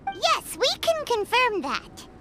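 An actress voicing an eager, friendly young girl adventurer in an anime-style video game, perky and expressive. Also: very high voice, takes it slowly, heavy background noise, full character voice.

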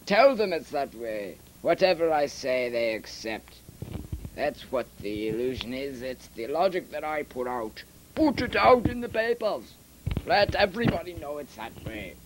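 A man's voice: a little high, sing-song, unhurried. A man playing a domineering, self-satisfied master of illusion in an amateur audio drama. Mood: smug, commanding. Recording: noisy lo-fi home recording.

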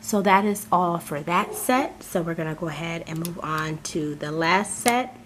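Vlogger, woman, lower register, a bit raspy from a cold, chatty and effusive; faint background hiss. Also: normal speed. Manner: chatty, gushing, addresses viewers as "you guys". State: hoarse from a cold. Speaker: crafting channel host. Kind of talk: casual unboxing vlog.

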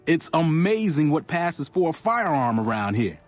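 Male guard, video game, incredulous, brash, lively pitch swings, music behind. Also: a little slow. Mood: incredulous, scornful. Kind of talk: video game character barks.

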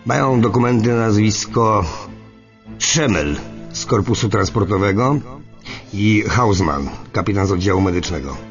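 A man's voice: fairly low pitch, moderate pace, natural voice. An actor playing a Dutch border policeman in a radio docudrama, speaking in a matter-of-fact, official way. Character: Dutch border policeman reporting calmly to his superior. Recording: dull sound over music bed.

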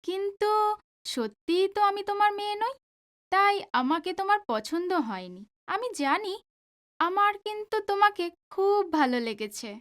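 A woman's voice: very high-pitched, sing-song, unhurried, a bit bright, heavily acted. An actress playing an earnest, lovestruck young female student in an audio drama, pleading in manner. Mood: coy, confessing. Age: young adult.

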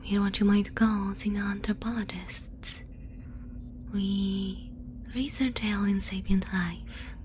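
Woman playing a slow, whispering, composed alien scientist. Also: medium pitch, fairly even intonation, slight background noise, close to an everyday voice. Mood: earnest. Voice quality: soft breathy whisper. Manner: measured, formal, reassuring. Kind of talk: whispered ASMR roleplay.

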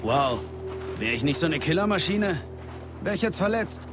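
A man's voice: on the high side, big pitch swings, normal speed. A man playing a cocky, wisecracking special forces sergeant in a German-dubbed video game, with a flippant, joking manner. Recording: loud music under the voice.

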